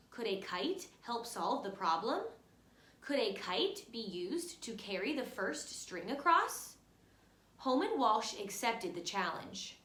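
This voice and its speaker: Expressive woman teacher reading aloud, slow and lilting. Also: medium pitch. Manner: expressive, instructive, addresses young listeners directly. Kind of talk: children's picture book read-aloud with guiding questions. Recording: roomy, echoey room sound.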